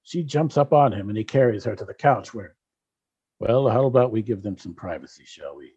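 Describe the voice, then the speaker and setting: A man's voice: lowish voice, expressive, a little fast, dark tone, slightly rough, lo-fi sound. A man reading scripted narration for a comedy radio drama.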